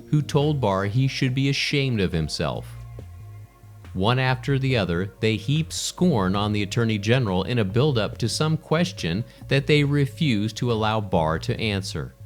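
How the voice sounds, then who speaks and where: A man's voice: lowish voice, expressive, normal speed. A man reading scripted news narration as a newsreader. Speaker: news reader. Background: background music.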